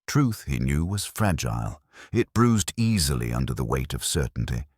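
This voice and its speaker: A man narrates a detective story in a very deep voice. His delivery is deliberate and literary, yet the pitch moves in a lively way. The tone has some huskiness. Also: husky, no echo, studio quality. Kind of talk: scripted audio mystery narration.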